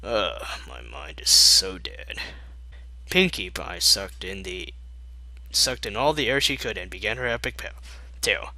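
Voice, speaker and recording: A man with a very sharp, forceful voice reads fan fiction as narrator. He is sarcastic and exasperated, dropping mocking asides. The sound is close and dry. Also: deep voice, expressive, measured pace, no room sound.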